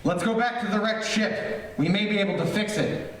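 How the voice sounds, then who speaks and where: A brave, earnest young space hero in classic radio-serial style, played by a man. His high voice carries heroic conviction, picked up at a distance in a very echoey space. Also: normal speed, fairly bright tone. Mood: determined. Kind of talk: old-time radio serial acting. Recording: echoey stage, distant mic, faint noise.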